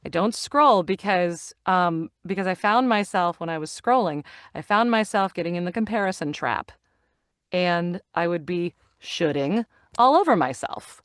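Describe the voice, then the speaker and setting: A woman's voice: on the low side, expressive, moderate pace, smooth, dry recording, studio quality. A middle-aged woman talking casually as an interview guest, telling stories and acting out the lines she quotes. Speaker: guest, actor and audiobook narrator.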